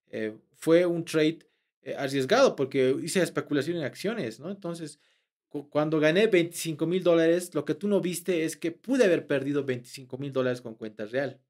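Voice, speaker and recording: A man's voice: mid-range voice, a little mellow. A young man, explaining trading to camera in a conversational way with frequent fillers and restarts, recorded in a small room. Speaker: trading instructor.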